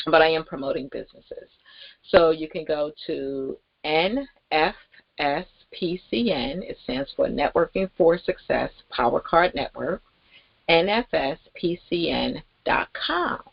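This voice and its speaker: A woman giving an informal, rambling promotional talk as host, with halting, emphatic delivery and pauses mid-sentence. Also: low-pitched, lively intonation, vocal fry, breathy edge, no echo, lo-fi sound. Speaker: network marketing promoter and host.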